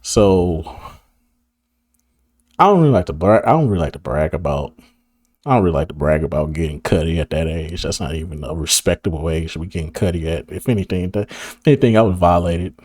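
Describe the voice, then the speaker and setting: Hoarse laid-back man rambling. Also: low voice, a little fast, darkish tone, dry recording, studio quality. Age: about 30. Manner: laid-back, rambling, heavy slang, laughs a lot. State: hoarse, losing his voice. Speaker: video creator. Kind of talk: casual reminiscing monologue to camera.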